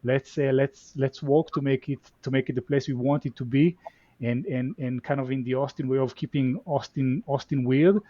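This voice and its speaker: A man's voice: mid-range voice, steady tone, moderate pace, no echo. Israeli guy chatting on an interview, keeps repeating words, kinda muffled lo-fi audio, dry close sound. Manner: repeats words often, reflective. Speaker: venture capital guest. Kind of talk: casual conversational interview.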